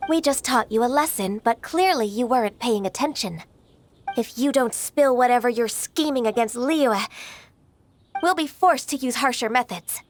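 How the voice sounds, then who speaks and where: Actress for poised young game official, female. High voice, measured formal delivery, politely stern. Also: slight background noise, lightly acted. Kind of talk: video game voice acting.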